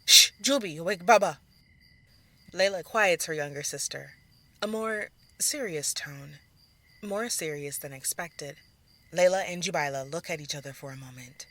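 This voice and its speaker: A woman's voice: sits low, moderate pace, a bit bright. Woman narrating a dramatic story.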